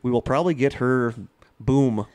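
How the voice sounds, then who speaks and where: A man's voice: mid-range voice, moderate pace, darkish tone, clean tone, no room sound. A man co-hosting a podcast in an informal, chatty way, speaking casually into a close microphone. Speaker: podcast co-host. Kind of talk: casual chat between co-hosts. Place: small home podcast studio.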